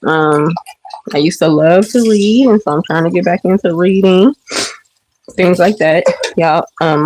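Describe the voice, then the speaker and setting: A woman's voice: lowish voice, a little mellow, dry recording, low-quality recording. A woman hosting a casual livestream from her kitchen, a bit stuffy and sniffling, rambling with many ums and restarts. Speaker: livestream host. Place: home kitchen. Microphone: phone or webcam while washing dishes.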